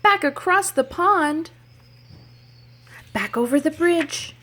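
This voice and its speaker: A woman childcare educator telling stories and playing with toddlers in a sing-song, animated, child-directed way, using simple phrases. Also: high voice, takes it slowly, slight background noise. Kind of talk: sing-song picture book storytelling and play for toddlers.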